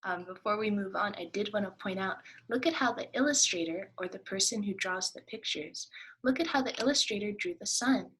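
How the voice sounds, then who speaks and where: A woman's voice: medium pitch, brisk, a bit bright, husky, low-quality recording. A woman teacher reading aloud to children, explaining as she goes and prompting listeners with questions.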